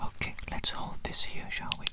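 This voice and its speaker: A performer voicing an eccentric, bossy evil doctor in a breathy whisper for an ASMR medical roleplay, theatrical and mock-formal, repeating phrases. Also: normal speed, natural voice. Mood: fascinated, musing. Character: eccentric evil doctor, bossy, easily offended, gleefully fascinated.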